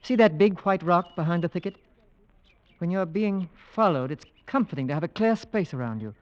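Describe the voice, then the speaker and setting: A man playing a brisk, skeptical English gentleman with an English accent, clipped and debonair, in an old radio drama. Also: high-pitched, animated, lo-fi sound. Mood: keen, intent. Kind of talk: 1940s-50s radio drama acting.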